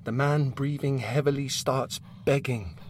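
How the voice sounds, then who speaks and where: A man reads a dark audio drama slowly and deliberately, with an intense delivery that sinks into hushed whispers. Faint ambient sound sits underneath him. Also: medium pitch, fairly even intonation, a little hiss or hum. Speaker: narrator. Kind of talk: scripted audio drama narration.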